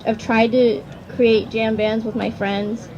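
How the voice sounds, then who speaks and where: A woman's voice: fairly high pitch, flat delivery, slow pace, darkish tone, highs cut off. A woman in her twenties, a student panelist, talking in a chatty, informal, hesitant way outdoors. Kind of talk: casual unscripted panel talk. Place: outdoors at a campground. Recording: outdoor recording with clear background noise.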